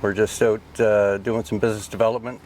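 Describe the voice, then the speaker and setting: Low-voiced laconic man from Nova Scotia. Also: little pitch movement, fairly quick, a little mellow. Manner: laconic, short clipped answers. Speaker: guest. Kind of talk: casual interview chat. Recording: faint background noise.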